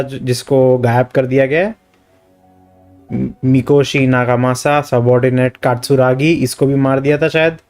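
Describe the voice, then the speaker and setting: A man with an Indian accent streams a game, talking quickly in a medium-pitched voice. He moves casually between commentary and read-out text, with music under his voice. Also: a little mellow. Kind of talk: live game commentary, reading dialogue aloud.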